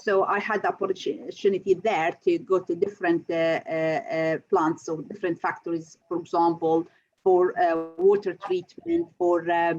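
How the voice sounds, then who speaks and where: A woman's voice: fairly low pitch, lively intonation, moderate pace, a little mellow. Woman from syria, hesitant, lots of fillers, engineering lecturer, slightly dull recording. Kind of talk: interview answers.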